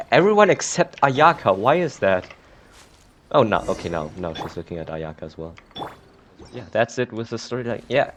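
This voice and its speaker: A man's voice: deep voice, a little hiss or hum. A man giving casual, off-the-cuff commentary on his game, hesitant and thinking aloud as he goes. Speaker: gamer commentating on playthrough.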